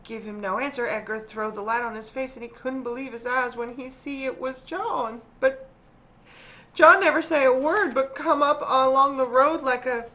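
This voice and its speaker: An actress playing a firm but troubled country wife in a spooky radio drama, speaking in plain rural dialect. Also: female voice, sits high, moderate pace, natural voice. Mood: hushed storytelling. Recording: dull, muffled lo-fi recording.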